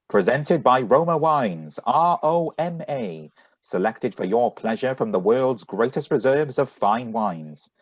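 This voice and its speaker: Man as husky vintage radio announcer. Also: mid-range voice, no room sound. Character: suave 1940s radio announcer pitching sponsor wines. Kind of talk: 1940s radio sponsor announcing.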